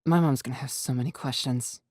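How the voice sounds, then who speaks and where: A man's voice: medium pitch, fairly even intonation, fairly quick, a little mellow, no room sound, studio quality, natural voice. A man voicing a nervous, anxious teenage boy who speaks tentatively in a storybook reading. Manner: tentative, worried.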